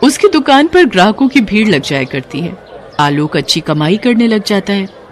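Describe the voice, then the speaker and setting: A female storyteller reads a scripted storybook tale. Her voice sits at an average pitch for a woman. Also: big pitch swings, normal speed. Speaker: story narrator.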